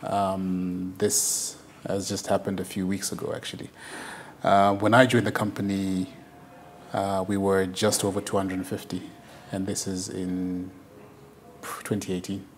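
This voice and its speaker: Low-voiced man from Zimbabwe. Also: completely flat delivery, a little slow, a little hiss or hum. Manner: hesitant, many fillers and restarts. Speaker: country manager, guest. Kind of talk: casual interview answers.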